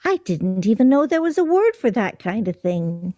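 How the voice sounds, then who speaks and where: A woman's voice: medium pitch, big pitch swings, normal speed, dark tone, no echo, studio quality, natural voice. A woman acting in a radio play as an airy, loyal, easily distracted friend at a game, breezy and innocent-sounding. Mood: coy, curious.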